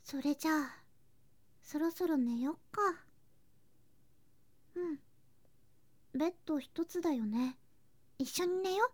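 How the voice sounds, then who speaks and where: A woman playing a sweet, clingy younger girl cousin in an ASMR voice drama, soft and breathy, often whispering, with a cutesy, affectionate manner. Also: high-pitched, unhurried, pauses often, dry recording. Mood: sleepy, tender. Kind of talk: Japanese ASMR situation voice drama. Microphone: close ASMR microphone.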